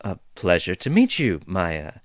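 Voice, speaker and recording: A man's voice: sits low, huge pitch swings, a little slow, no echo, studio quality, full character voice. A man voicing a polite young woman who talks in a nervous, flustered way.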